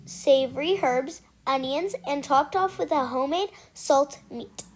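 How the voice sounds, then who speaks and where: A child reading a children's picture book aloud, haltingly, repeating and correcting words along the way. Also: high voice. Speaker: amateur read-aloud reader.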